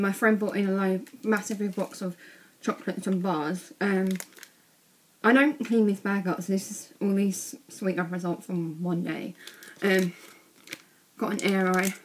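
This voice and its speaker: Teenage girl, slightly croaky, monotone, rambling with ums, bedroom webcam. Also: mid-range voice, a little hiss or hum, low-quality recording. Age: teens. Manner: chatty, rambling with many ums. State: ill, a bit croaky. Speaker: vlogger. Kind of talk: casual vlog showing bag contents. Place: small bedroom indoors.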